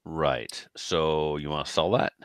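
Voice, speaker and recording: A man's voice: rumbling low, fairly even intonation, takes it slowly, no room sound, clean studio sound. A man chatting casually as a podcast co-host. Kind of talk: casual chat.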